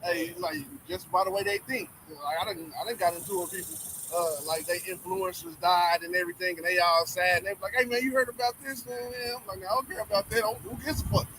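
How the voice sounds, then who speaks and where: Man, very high bright voice, rapid and emphatic, off mic. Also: steady tone, a little hiss or hum, rough recording. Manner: fast, emphatic, cusses freely. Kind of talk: casual religious chat.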